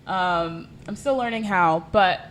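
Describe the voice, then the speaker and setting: A woman's voice: lowish voice, slow pace, a bit bright. Young woman guest on a podcast, rambly and casual, lots of "like", a bit of faint noise behind. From South Sudan. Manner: chatty, full of fillers like "like" and "um". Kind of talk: casual chat.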